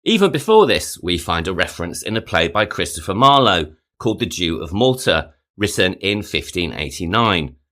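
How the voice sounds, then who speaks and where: A man hosting a podcast, reading a scripted narration in a measured way, each phrase rising and then falling away at the end. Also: low voice, very animated, fairly bright tone. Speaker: podcast host.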